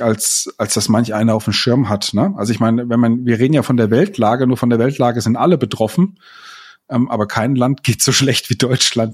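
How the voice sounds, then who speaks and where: Husky man chatting casually. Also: mid-range voice, darkish tone. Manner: conversational, many fillers, tag questions. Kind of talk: casual chat.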